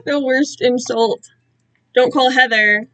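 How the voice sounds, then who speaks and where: Wysoki, niespieszny głos kobiety, rozgadanej i autoironicznej. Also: female voice, high voice, steady tone, slow, fairly bright tone, dry recording, poor audio. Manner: rambling, self-deprecating, easily distracted. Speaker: streamer reading own fan-written work to viewers. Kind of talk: casual live-stream chat.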